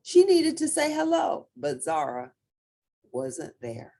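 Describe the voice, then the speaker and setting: A woman reading a children's picture book aloud as an expressive storyteller with theatrical emphasis. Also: sits low, takes it slowly.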